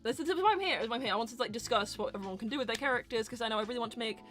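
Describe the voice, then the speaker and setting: A woman's voice: on the high side, very fast, a bit bright, soft background music. A woman hosting a live roleplay stream, chatting casually and candidly as she answers questions in rambling explanations. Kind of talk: casual livestream Q&A chat.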